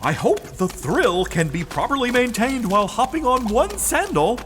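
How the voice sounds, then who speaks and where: A prim, fussy fellow, very high and swooping in pitch. He is timid and fretful, speaking formally in an old-fashioned way, echoing through a cavern. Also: very animated, normal speed, fairly bright tone, faint music behind, heavily acted. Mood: flustered. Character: fussy, prim, timid man struggling to keep up. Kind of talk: audio drama acting. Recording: long cavernous reverb.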